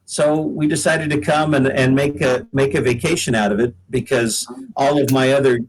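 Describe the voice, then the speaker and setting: A man's voice: mid-range voice, fairly even intonation, normal speed, poor audio. A middle-aged American man from Oregon chatting casually as an interview guest, easygoing and jokey, on a laptop video call. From Oregon, United States. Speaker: guest singer-songwriter.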